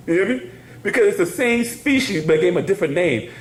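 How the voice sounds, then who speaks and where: A man's voice: high-pitched, lively intonation, fairly quick, roomy sound. A man teaching a casual class, rambling and laughing, often asking "you hear me?". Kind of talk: casual spoken class lecture.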